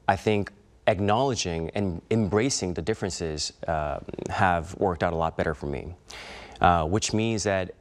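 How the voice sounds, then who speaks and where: A man with a slight Korean accent, an actor speaking as an interview guest, thoughtful and hesitant with many fillers. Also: fairly low pitch. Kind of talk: interview conversation.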